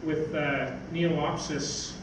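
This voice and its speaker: An older man giving an informal, halting talk from notes on a stage. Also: on the high side, fairly even intonation. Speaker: speaker, magazine editor. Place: theatre stage, indoors. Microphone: stage mic on stand, picked up by distant camera. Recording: roomy, noisy stage recording from far off.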